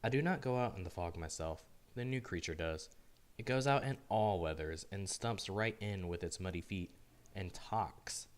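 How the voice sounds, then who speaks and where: A man voicing Adam as a grumpy, bewildered, solitary diarist, weary and fussy, in a deadpan storybook diary reading. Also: low voice, normal speed, natural voice. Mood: resigned grumbling. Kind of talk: first-person storybook diary narration.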